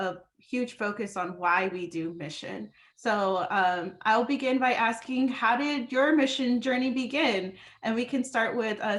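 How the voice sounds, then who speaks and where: A woman from California who hosts an interview and asks her guests questions encouragingly over a video call. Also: mid-range voice. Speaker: podcast interviewer. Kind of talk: casual interview.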